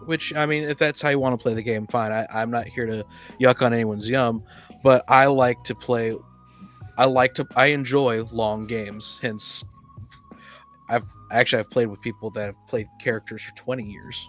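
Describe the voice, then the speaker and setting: A man's voice: medium pitch, fairly even intonation, moderate pace, fairly bright tone, faint music behind. A man chatting on a discussion panel, often stammering and repeating words as he makes his point. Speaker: podcast panelist, tabletop player. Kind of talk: casual discussion of tabletop gaming.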